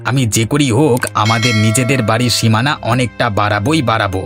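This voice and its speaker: A man plays a greedy cartoon villager, a bullying husband with a mid-pitched voice. He is bossy and insistent, forever scheming, over clearly audible background music. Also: fast talker, lightly acted. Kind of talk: cartoon voice acting.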